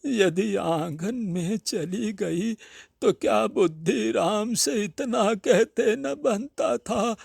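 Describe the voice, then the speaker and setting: A man's voice: sits high, gravelly, a little mellow, husky, no echo, studio quality, voice pushed up, exaggerated voice. A man voicing a hungry, self-pitying blind old aunt in a Hindi storybook tale, with a quavering, plaintive manner. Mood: plaintive, aggrieved. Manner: slow, plaintive lamenting.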